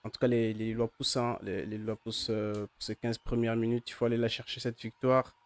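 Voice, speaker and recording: A man's voice: medium pitch, flat delivery, fairly quick, no room sound. A man with the flu, his voice not at its best, giving casual live commentary on a football match for his chat, hesitant and full of fillers. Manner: hesitant, many "euh" fillers and restarts.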